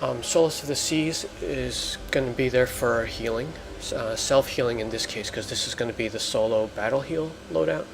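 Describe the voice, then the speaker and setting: A man giving halting, explanatory let's play commentary as host of a gaming video. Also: mid-range voice, creaky, background noise.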